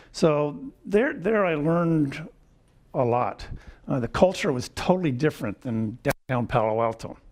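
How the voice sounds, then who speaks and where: A man in his seventies giving a lecture with personal stories, wry and chuckling, often clearing his throat. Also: mid-range voice, darkish tone. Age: 70s. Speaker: guest lecturer. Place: lecture hall.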